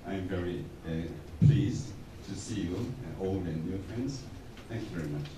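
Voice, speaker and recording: A man, an engineer, introducing himself at a workshop with many filler pauses and restarts. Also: low voice, expressive, noisy background. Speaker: engineer in mining technology. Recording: echoey conference hall, distant mic.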